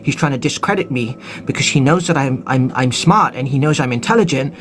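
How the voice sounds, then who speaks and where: A man livestreaming who stammers and pleads in an indignant, flustered way, his voice going high and squeaky when upset. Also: animated, fairly quick, a bit bright. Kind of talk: comedic vegan-activist livestream character.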